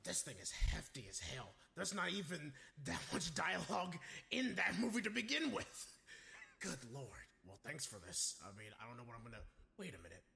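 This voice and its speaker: A man's voice: on the high side, sing-song, normal speed, creaky, crowd noise. A man voicing a pompous, melodramatic middle-aged cartoon villain in an improvised comedy dub. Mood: sly, puzzled.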